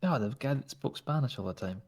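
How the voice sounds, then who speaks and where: A man's voice: mid-range voice, lively intonation, fast talker. A man with a Scottish accent talking in character during a roleplay, flirtatious and teasing. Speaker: roleplay player.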